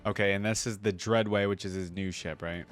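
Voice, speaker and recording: A man's voice: lowish voice, steady tone, a little fast, faint music behind. A man hosting a reaction video, reading aloud and commenting in an informal, chatty way.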